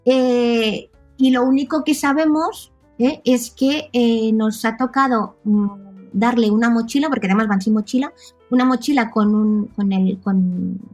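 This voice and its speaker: A woman with a Catalan accent and Catalan as her first language talks in a fairly high voice. She is a casual podcast guest, chatty and fond of tag questions. Faint music is heard with her speech. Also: steady tone, measured pace, a little mellow. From Catalonia, Spain. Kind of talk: casual conversational interview.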